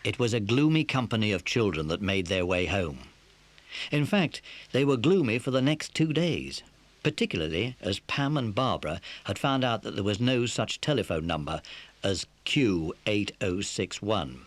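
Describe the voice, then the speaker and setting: A man narrating a scripted children's story as an expressive storyteller. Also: fairly low pitch, normal speed, no room sound.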